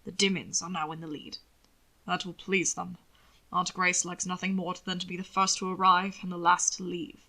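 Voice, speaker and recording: A woman's voice: fairly low pitch, little pitch movement, moderate pace, sharp tone, pitched below the performer's own voice. A woman voicing a frail, affectionate old aristocratic grandfather in an audiobook, genial and formally old-fashioned. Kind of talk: audiobook character voice. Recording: occasional static crackle from microphone.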